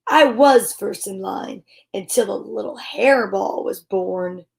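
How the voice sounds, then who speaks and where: Woman acting sly, slow-talking jealous lion villain. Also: mid-range voice, creaky. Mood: resentful. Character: jealous, scheming adult male lion villain.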